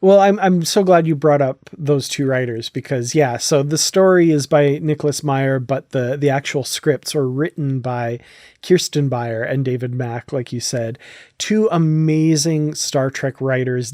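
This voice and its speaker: A man hosting a podcast, speaking in an effusive, excitable and enthusiastic way. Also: mid-range voice, no echo, studio quality. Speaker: podcast host. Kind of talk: enthusiastic casual podcast discussion.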